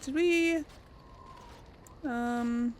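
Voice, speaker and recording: A woman's voice: high voice, very slow, darkish tone, slight background noise. A woman hosting a let's play, giving chatty, informal gameplay commentary and casually role-playing her replies.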